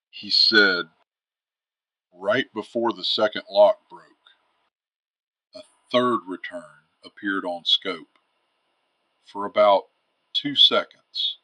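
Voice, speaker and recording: A man acts out a retired fighter pilot major on a late-night radio drama call-in. His voice is bright and forceful. He talks bluntly and deliberately, with an uneasy candor. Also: on the low side, full character voice, low-quality recording. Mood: uneasy, hushed.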